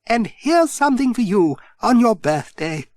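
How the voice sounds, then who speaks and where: A man's voice: very high voice, very animated, unhurried, a little mellow, dry recording, voice pushed up, full character voice. A man voicing a kindly older village vicar who speaks in solemn riddles, in a storybook reading.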